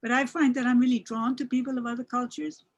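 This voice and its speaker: Panelist: earnest woman, slightly high voice, warm appreciation. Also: steady tone, fast talker, highs cut off. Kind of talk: personal reflection on a panel.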